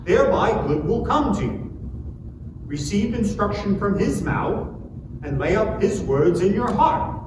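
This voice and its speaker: A man in a dramatic scripture reading, playing a righteous friend who earnestly preaches repentance. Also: high-pitched. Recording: very echoey, distant mic, noisy room.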